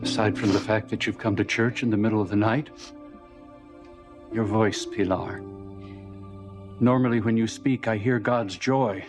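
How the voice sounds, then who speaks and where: A man acting as a gently probing, compassionate priest in a soap opera. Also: on the low side, steady tone, normal speed, long pauses, faint music behind, close to an everyday voice. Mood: concerned.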